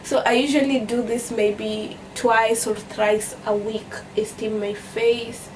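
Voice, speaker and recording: A young Kenyan woman with a fairly high voice talks casually to her viewers in a storytime vlog. Her accent is Kenyan, and she is chatty, often repeating phrases for emphasis. She sounds a little way from the mic, with faint noise around her. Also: flat delivery, slow pace. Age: young adult. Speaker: vlogger. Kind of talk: casual storytime chat to camera. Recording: distant mic with background noise.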